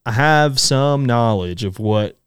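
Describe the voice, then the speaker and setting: A man's voice: medium pitch, expressive, slow, clear, resonant tone, no echo, studio quality. A man co-hosting a casual book podcast who rambles in a self-deprecating way and laughs as he talks. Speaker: podcast co-host.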